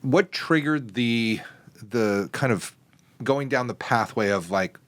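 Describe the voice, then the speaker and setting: A man hosting a casual podcast interview who asks questions and breaks in with animated interjections. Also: mid-range voice, slow pace. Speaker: podcast host, plastic surgeon.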